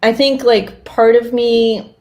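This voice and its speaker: A woman's voice: a little high, fairly even intonation, slow, darkish tone. A woman co-hosting a podcast, talking casually and informally, often acting out little asides as she tells things. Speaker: podcast co-host.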